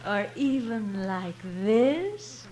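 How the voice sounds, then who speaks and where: Coy, lively college beauty. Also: female voice, a little high, drawn-out words, heavy background noise, exaggerated voice. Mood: coy, flirtatious. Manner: coy, seductive. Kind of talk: 1950s radio comedy acting. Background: music.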